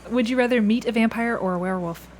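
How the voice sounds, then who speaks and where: A woman's voice: on the high side, fast, a little mellow, slight background noise. A woman hosting a casual interview, asking questions in a conversational style. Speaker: podcast host, interviewer.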